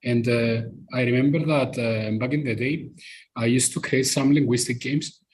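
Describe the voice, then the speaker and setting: Greek-accented man explaining haltingly. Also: medium pitch, steady tone, darkish tone, a little hiss or hum. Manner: halting, many uh fillers, explaining at length. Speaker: discussion participant. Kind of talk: live podcast group discussion.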